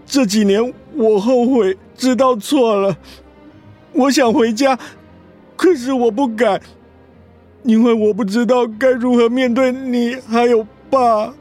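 A man's voice: extremely high pitch, fairly even intonation, slow, darkish tone, soft background music. A man acting in a radio drama as a remorseful, conflicted grown son, earnest and pleading in manner. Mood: tearful pleading.

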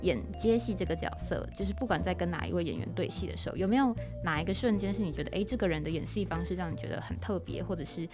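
A woman with a Taiwanese Mandarin accent speaks in a fairly low register as a podcast host. She explains her points conversationally, with music audible behind her voice. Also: fast talker, hardly any pauses. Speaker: podcast host and audio drama writer.

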